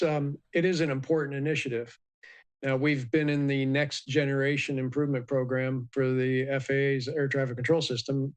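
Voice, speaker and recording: A man giving a measured, explanatory expert commentary in a live news interview, with many ums and uhs. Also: mid-range voice. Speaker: aviation expert guest.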